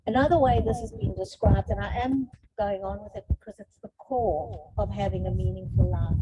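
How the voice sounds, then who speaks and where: A woman's voice: mid-range voice, mellow. A middle-aged woman giving an explanatory motivational talk in an emphatic, instructive manner that repeats phrases for emphasis. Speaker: speaker on psychology and wellbeing. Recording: noisy, limited-band audio with clicks.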